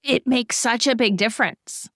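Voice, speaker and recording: A woman's voice: on the high side, normal speed, fairly bright tone, no room sound, clean studio sound. A woman in her late thirties co-hosting a podcast, explaining ideas and giving everyday examples in a casual way. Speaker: podcast co-host.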